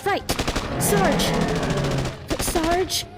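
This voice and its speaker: Woman acting a high-voiced, brash young woman adventurer. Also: very slow, full character voice. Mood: alarmed, wry. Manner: blunt, sarcastic. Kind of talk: audio drama acting. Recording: faint music under the voice.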